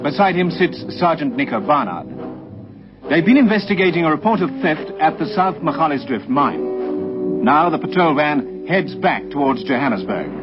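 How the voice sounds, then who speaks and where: A man's voice: on the high side, expressive, normal speed. A man giving clipped, factual scripted narration for a vintage radio crime drama. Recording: muffled old radio recording, loud music.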